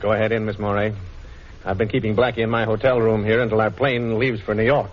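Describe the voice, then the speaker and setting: An actor playing a dogged, wry police inspector in a 1940s radio drama, brisk and clipped. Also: male voice, fairly low pitch, level tone, loud music behind the voice, natural voice. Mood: determined. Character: dogged, wry male police inspector hunting his nemesis. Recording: dull, noisy vintage radio recording.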